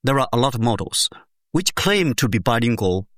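A man speaking English as a second language explains his research in a measured, step-by-step way, pausing often to find his words. His pitch rises and falls with lively emphasis. The sound is dry and close, with no room echo. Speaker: education researcher, interview guest. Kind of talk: explanatory academic interview answer.

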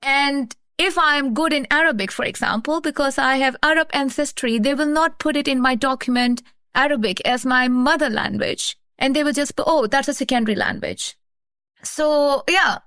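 Woman from Pakistan, researcher; earnest, explanatory, fairly high voice, dry close mic. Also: moderate pace, fairly bright tone, no room sound. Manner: earnest, explanatory, repeats phrases. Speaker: researcher, guest. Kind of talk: academic conversation.

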